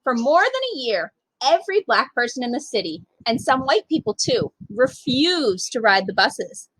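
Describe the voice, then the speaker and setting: A woman's voice: a little high, sing-song, moderate pace, fairly bright tone, husky, dry recording, low-quality recording. A woman reading a children's picture book aloud expressively as a storytime reader. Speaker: storytime reader, literacy program manager.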